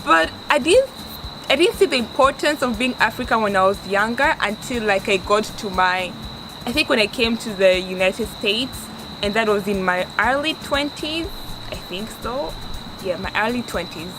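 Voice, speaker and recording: A woman's voice: on the high side, normal speed. Earnest young Tanzanian woman, noisy background. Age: 20s. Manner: earnest, restarts often, stresses words for emphasis. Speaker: vlogger. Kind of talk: casual advice vlog. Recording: steady background noise.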